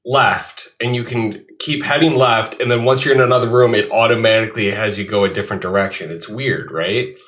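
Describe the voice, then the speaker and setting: A man's voice: fairly low pitch, brisk, hardly any pauses, fairly bright tone. A man with a nasal, congested voice, chatting casually as a co-host on a gaming podcast, often restarting his sentences. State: nasal from a cold, recovering.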